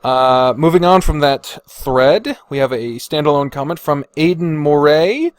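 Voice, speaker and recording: A man's voice: on the high side, unhurried, dry recording. A man hosting a podcast, chatting informally and swearing freely as he reads and reacts to comments. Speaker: podcast host. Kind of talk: casual chat, reading and reacting to viewer comments.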